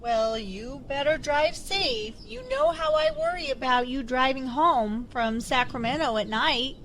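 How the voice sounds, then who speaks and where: A woman's voice: high-pitched, sing-song, a little slow, fairly bright tone, slight background noise, exaggerated voice. A woman playing a caring, fretting grandmother in an audio drama.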